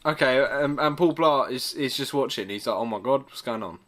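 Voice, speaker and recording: A man's voice: mid-range voice, fairly quick, fairly bright tone. A man with a British accent in casual, joking banter as a podcast co-host, teasing and mock-serious.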